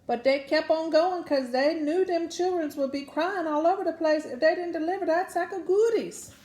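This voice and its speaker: A woman's voice: very high voice, normal speed, darkish tone. A woman storyteller reads to children. Her delivery is folksy and dramatic, with words drawn out for effect. The room adds a noticeable echo. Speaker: storyteller reading a children's book aloud. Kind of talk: animated Cajun folk storytelling. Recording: roomy echo around the voice.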